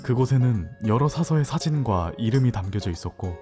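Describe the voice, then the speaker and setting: A man acting in an audio drama as a hesitant, introspective newcomer, narrating in a soft, reflective way. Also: mid-range voice, expressive, fairly quick, over music, close to an everyday voice.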